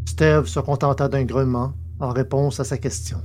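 A man's voice: mid-range voice, fairly even intonation, moderate pace, darkish tone, slight background noise. A man narrating an audio drama from a script in a careful, literary reading style. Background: music.

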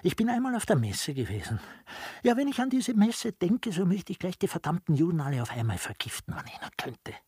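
A crude, scheming rascal in a period radio comedy. He blusters and rants with very lively, jumping pitch, then turns oily and servile. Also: fairly quick, breathy edge, dry recording, studio quality, full character voice. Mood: boastful, animated. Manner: ranting, blustering, mock-obsequious. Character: coarse, bigoted, sly rogue posing as a servile simpleton. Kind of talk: German stage comedy radio acting.

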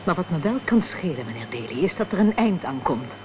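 Guarded proud widow, muffled noisy recording. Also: female voice, deep voice, big pitch swings, fairly quick. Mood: earnest, firm. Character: guarded, proud widow devoted to republican politics. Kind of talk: Dutch radio drama acting. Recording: dull, noisy old radio recording.

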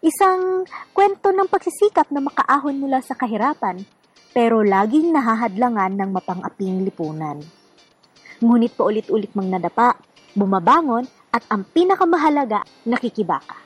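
Woman narrating radio drama, highish husky voice, earnest n emphatic, faint music behind. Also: expressive, normal speed.

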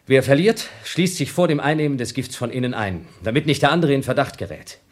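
An actor playing a scheming, desperate crewman on a space station in a German radio play, husky and insistent. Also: medium pitch, lively intonation, brisk, natural voice. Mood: grimly calm. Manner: domineering, theatrical, insistent.

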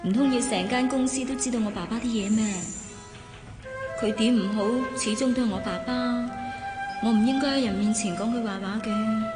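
An actress plays a reserved young office worker with a Hong Kong Cantonese accent. She is polite and modest, with a fairly high voice, in a radio drama with faint music behind. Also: brisk, lightly acted. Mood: pensive.

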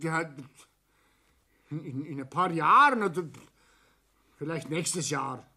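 A high-voiced old Bavarian patriarch in a radio drama. He blusters and browbeats, his pitch swinging widely as he lays down the law to his family. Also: expressive, slow, bright, forceful tone, slightly rough, heavily acted. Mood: pleading, pressuring. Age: older. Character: domineering, hot-tempered old Bavarian father and businessman. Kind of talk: German radio play acting.

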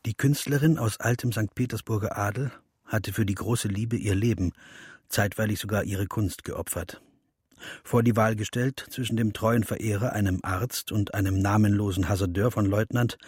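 Husky low-voiced man. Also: normal speed, a little mellow, dry recording, clean studio sound. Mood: dry, explanatory. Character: male translator, melancholy, theatrical, enigmatic lover. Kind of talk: radio drama acting.

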